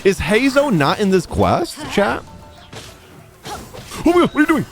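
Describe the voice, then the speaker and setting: A man's voice: sits high, wildly swooping pitch, unhurried, soft background music. A young man giving casual, slangy live commentary as he reacts to a game he is streaming, close on the mic. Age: young adult. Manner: slangy, chatty. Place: small indoor streaming room. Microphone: desk microphone close to mouth.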